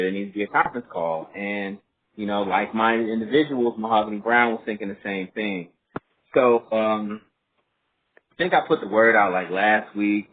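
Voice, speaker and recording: A man hosting a group phone call, talking casually and politely in a halting, explanatory way. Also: fairly low pitch. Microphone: telephone conference line. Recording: muffled phone line with clear noise, faint.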